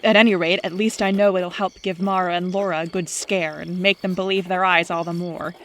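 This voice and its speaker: A woman's voice: fairly low pitch, steady tone, a little fast, slight background noise, natural voice. A woman acting a skeptical, sarcastic young prankster in an audio drama, with a dry delivery. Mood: uneasy. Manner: dry, sardonic.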